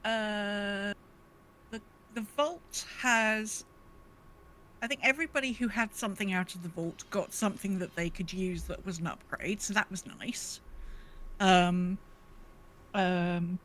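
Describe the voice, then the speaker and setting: A woman's voice: medium pitch, a little slow, fairly bright tone, a little hiss or hum, poor audio. A woman co-hosting a podcast, chatting casually about gaming in a rambling, self-correcting way. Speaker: podcast co-host.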